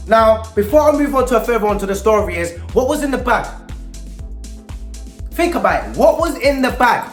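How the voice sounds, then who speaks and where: London man telling street stories, roomy echo. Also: high-pitched. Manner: animated, emphatic, acting out dialogue. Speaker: storyteller and youth mentor. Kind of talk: street-slang personal storytelling aimed at young people. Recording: roomy echo with faint music underneath.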